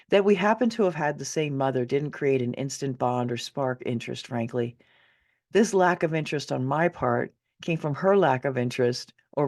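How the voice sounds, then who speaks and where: Animated, creaky-voiced woman narrating her memoir. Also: rumbling low. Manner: candid, wry, dramatic emphasis. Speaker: author reading own memoir. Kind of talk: conversational audiobook narration.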